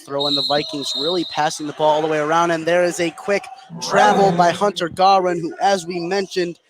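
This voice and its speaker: A man's voice: a little high, little pitch movement, fairly quick. A man doing live play-by-play basketball commentary for radio, fluently narrating the action from inside a gymnasium. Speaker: play-by-play announcer. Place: indoor high school gymnasium. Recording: noisy gym ambience behind commentary. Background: gym noise.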